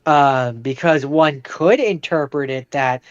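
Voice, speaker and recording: A man's voice: medium pitch, takes it slowly. A man co-hosting a podcast, casually explaining films and going back over his points, on slightly dull audio. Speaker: podcast co-host. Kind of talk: casual film discussion.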